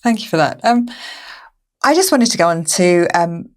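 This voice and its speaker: A woman's voice: lowish voice, lively intonation, normal speed, a little mellow. A woman hosting a podcast, interviewing guests in a conversational style. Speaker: podcast host.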